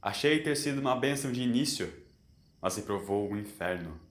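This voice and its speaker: A man's voice: medium pitch, expressive, a little fast, roomy sound, natural voice. A man voicing a weary, bitter immortal alien in human form for an audio story, solemn and anguished.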